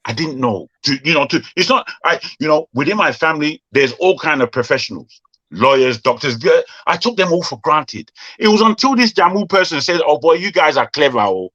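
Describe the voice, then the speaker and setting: Reflective man, bright voice, dull recording. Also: a little high, expressive. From Nigeria. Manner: reflective, halting, restarts. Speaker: host. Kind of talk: conversational discussion. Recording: dull lo-fi sound.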